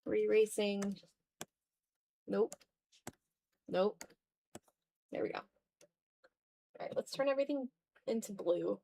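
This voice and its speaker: A woman's voice: medium pitch, slow, vocal fry, pauses often, dark tone, a little hiss or hum. A woman livestreamer chatting casually while drawing, thinking aloud in fragments with a self-deprecating manner. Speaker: art livestreamer.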